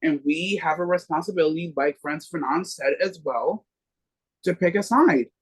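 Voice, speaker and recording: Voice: medium pitch, moderate pace, husky, rough recording. A book club member in political discussion, speaking earnestly and rallying others.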